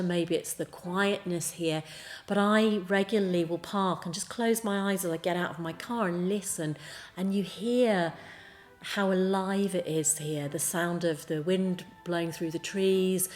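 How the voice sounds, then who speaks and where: Lowish-voiced woman over a clear music bed. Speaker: college staff member. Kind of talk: promotional talk to camera.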